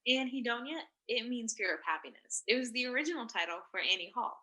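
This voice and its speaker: A woman's voice: fairly high pitch, lively intonation, fast, pushed and bright. A woman in a staged play reading, playing an earnest, hesitant intellectual who calls a radio show. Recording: recorded over video call.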